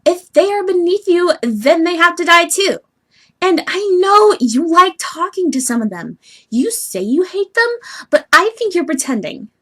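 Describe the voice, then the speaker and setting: A woman voicing an earnest, bubbly young female troll heiress from the sea, with expressive scolding, in an amateur audition style. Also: very high-pitched, unhurried, exaggerated voice. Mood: probing. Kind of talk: amateur animated-webcomic voice audition acting.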